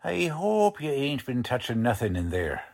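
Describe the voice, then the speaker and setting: A man playing a gruff, blunt, streetwise police lieutenant in a 1940s radio crime drama, talking in tough-cop slang. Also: medium pitch, big pitch swings, normal speed, a little mellow. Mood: impatient, gruff.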